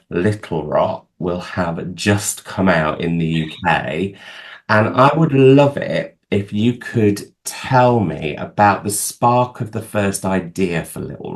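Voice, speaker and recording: A man with a very low voice hosts a book channel and interviews an author over a video call. His questions run long and wind about, full of open excitement about the book. He sounds eager, almost starstruck. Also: unhurried. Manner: gushing, long rambling questions.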